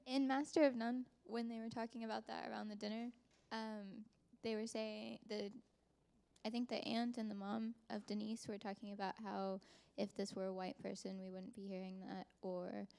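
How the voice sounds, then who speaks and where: A woman asking a question to a discussion group in a measured way. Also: medium pitch, flat delivery, gravelly, darkish tone, clear, resonant tone.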